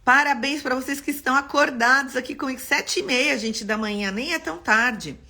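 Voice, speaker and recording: A woman from São Paulo with a Paulista accent, an architect teaching an expressive live-stream class. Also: high-pitched, a little fast. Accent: Paulista Brazilian Portuguese. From São Paulo, Brazil. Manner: expressive, greets viewers by name.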